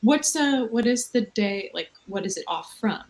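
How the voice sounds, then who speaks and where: A woman's voice: medium pitch, animated, moderate pace, darkish tone. A woman in her thirties hosting a casual late-night video chat with fans from a hotel room, rambling and self-mocking. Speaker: livestream host. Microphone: video call.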